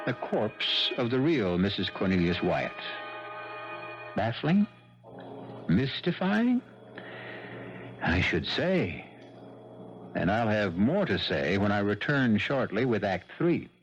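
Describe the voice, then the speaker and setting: An actor as the wry, ominous host of a 1970s radio mystery, telling the tale with theatrical, deliberate pauses. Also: male voice, on the low side. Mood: wry, teasing. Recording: muffled old radio broadcast. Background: music.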